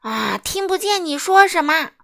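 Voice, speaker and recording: A brusque storybook shopkeeper, acted by a woman, bellows in a raised, high-pitched voice. He is impatient and curt, booming every line. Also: expressive, a little slow, creaky, exaggerated voice. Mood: impatient, dismissive. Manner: shouts curtly.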